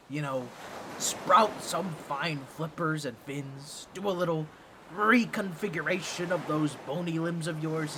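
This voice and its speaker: A man voicing an excitable, boastful talking octopus in a comedic audio roleplay, with a nasal, squawky voice and a fussy, theatrical, pompous way of talking to the listener. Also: a little high, background noise. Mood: pondering, pompous.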